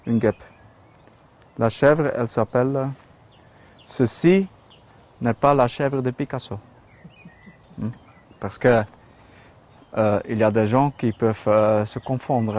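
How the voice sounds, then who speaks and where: A man with a Flemish accent, his first language Dutch, speaks French at an unhurried, halting pace, full of fillers and restarts. His voice sits at an ordinary middle pitch. He speaks casually, as if showing someone around his work outdoors in a rural spot. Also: a little mellow. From Belgium. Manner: hesitant, many fillers and restarts. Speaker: metal sculptor. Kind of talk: casual studio tour.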